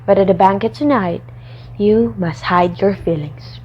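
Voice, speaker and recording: Slow-spoken scheming noblewoman, acted by a woman. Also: medium pitch, a little mellow, muffled recording, slight background noise, close to an everyday voice. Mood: cold, commanding. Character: Lady Macbeth, ambitious, ruthless scheming noblewoman wife. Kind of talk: radio drama acting.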